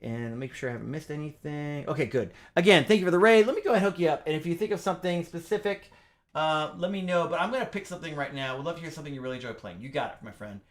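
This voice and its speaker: A man's voice: fairly high pitch, animated, very fast. A middle-aged man hosting a casual music livestream, chatty and upbeat, with lots of fillers. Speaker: livestream pianist host.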